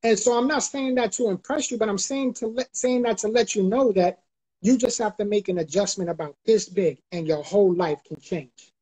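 A man's voice: high voice, normal speed, dark tone, breathy edge, poor audio. A middle-aged man from the Bronx. He gives an emphatic motivational talk on a live video call and repeats key phrases like a preacher. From the Bronx, New York. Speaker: guest motivational speaker. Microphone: video call, close webcam or phone.

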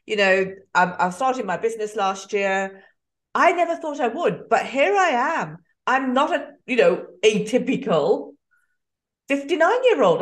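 Fifties woman, podcast host on a video call, enthusiastic and earnest. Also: on the high side. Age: 50s. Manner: enthusiastic, earnest, many fillers. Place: home room. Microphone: headset.

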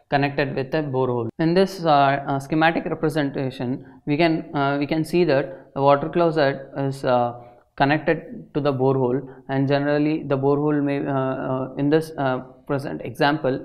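A young man gives an explanatory lecture in a halting, hesitant way, with frequent filled pauses. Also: medium pitch. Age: young adult. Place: indoor studio at a desk. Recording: slightly dull, lo-fi sound.

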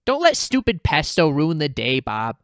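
A loud, bubbly middle-aged cartoon mom, acted out by a man, pitched very high and swooping all over. She stretches her words into singsong exclamations and likes to boss people around. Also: wildly swooping pitch, dry recording, clean studio sound, exaggerated voice. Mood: bossy. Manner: exuberant, drawn-out, singsong exclamations.

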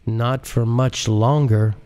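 A man voicing a smug, menacing male computer genius, calm, deliberate and condescending, in an audiobook. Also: medium pitch, smooth, lightly acted. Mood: smug threat. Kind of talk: audiobook character acting.

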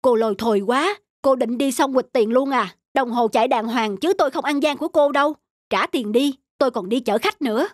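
A woman's voice: sits high, brisk, no echo, clean studio sound. A woman voicing a stubborn, money-worried young male motorbike taxi driver, curt and insistent, in a cartoon drama. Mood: irritated, insistent.

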